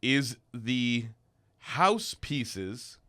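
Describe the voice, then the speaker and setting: A man's voice: medium pitch, sing-song, bright, forceful tone. A man in his thirties co-hosting a holiday podcast, playful and enthusiastic in casual chat, a little under the weather. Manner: playful, enthusiastic, quick to laugh. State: slightly sick. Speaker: podcast co-host.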